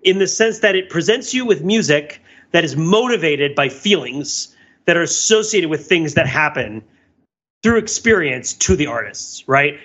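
Man, podcast panelist, sharp bright tone, raised pitch, rambling analysis, animated asides, slightly muffled compressed sound. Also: normal speed. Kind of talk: discursive film and music discussion.

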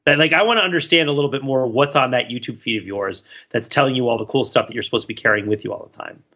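A man's voice: medium pitch, very fast, bright, forceful tone, highs cut off. A man chatting casually as a podcast host, inquisitive and thinking aloud as he works through questions and analogies. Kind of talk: casual chat.

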